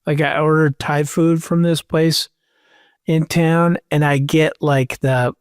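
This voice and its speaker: A man's voice: a little high, little pitch movement, takes it slowly, smooth, dry recording, clean studio sound. A man in his thirties, a podcast co-host, joking and explaining things offhand in casual chat.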